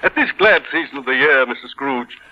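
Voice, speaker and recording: An actor playing a polite, earnest gentleman collecting for charity, with formal manners, in a 1950s radio drama. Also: mid-range voice, animated, a little fast, natural voice. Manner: formal, courteous. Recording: dull 1950s radio broadcast recording.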